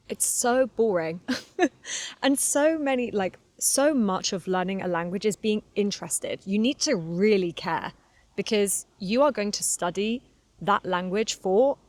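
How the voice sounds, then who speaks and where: A woman's voice: medium pitch, dry recording. A woman in her twenties from Cornwall with a Southern English accent, a touch of London, chatting candidly as an English teacher on a podcast for learners, with frequent laughs and fillers. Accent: Southern English, slightly London. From Cornwall, England. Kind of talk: relaxed solo podcast monologue for learners.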